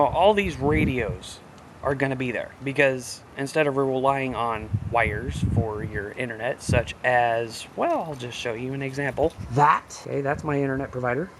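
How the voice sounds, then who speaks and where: A man's voice: medium pitch, slight background noise. Rambling folksy man showing off radios. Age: adult, married father. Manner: rambling, folksy, talks to viewers directly. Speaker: radio hobbyist vlogger. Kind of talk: casual show-and-tell vlog. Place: indoors at home.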